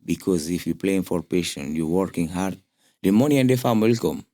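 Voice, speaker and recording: A man from Guinea, a footballer guest, giving advice in a soft-spoken way with loose phrasing. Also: low voice, animated, a little fast, dry recording, clean studio sound. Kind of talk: casual interview chat.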